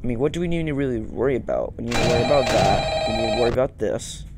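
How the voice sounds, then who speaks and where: A young man does casual gaming commentary on a headset in a small room. His voice is mid-pitched with a noticeable creak, and he thinks aloud in repeated phrases over loud game music. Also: lively intonation, racing. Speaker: gaming YouTuber. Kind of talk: casual let's-play commentary. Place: small room indoors at home.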